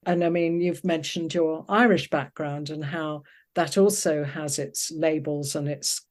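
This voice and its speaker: Low-voiced older woman, reflective and hesitant. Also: steady tone, a little slow. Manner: thoughtful, hesitant, searching for words. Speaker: interviewed poet. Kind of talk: reflective interview conversation.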